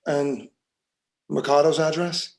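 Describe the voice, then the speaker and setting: A man acting a calm police detective in a screenplay table read, with measured, procedural questioning. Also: fairly high pitch, vocal fry. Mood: businesslike. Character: steady, businesslike male police detective.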